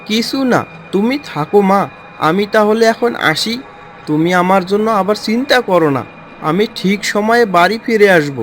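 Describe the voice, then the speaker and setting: A greedy young cowherd played by a man speaks at a very slow pace, coaxing and scheming in cartoon style. Light music runs beneath the voice. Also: sits high, expressive. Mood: reassuring. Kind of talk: cartoon story dialogue.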